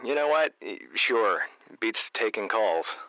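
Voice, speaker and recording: A man playing a casual, gently needling radio host with a dry, teasing way of talking in a comedy audio drama. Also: on the low side, expressive, slow, no echo, muffled recording, heavily acted. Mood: offhand, surprised.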